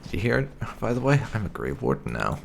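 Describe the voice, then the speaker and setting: A man's voice: on the low side, big pitch swings, fast, gravelly, slight background noise. A man giving laid-back, conversational roleplay commentary as the host of a gaming video. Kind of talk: casual roleplay game commentary.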